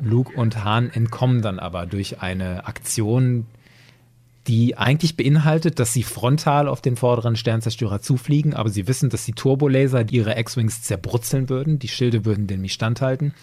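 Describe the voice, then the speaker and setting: A man's voice: medium pitch, moderate pace, dark tone. A man hosting a podcast, retelling a story in a conversational way. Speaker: podcast host.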